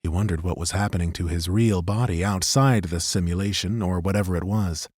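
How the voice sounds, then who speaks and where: A man narrating a fantasy audiobook in a measured, even way. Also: low voice, husky, no room sound, studio quality. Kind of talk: scripted narration.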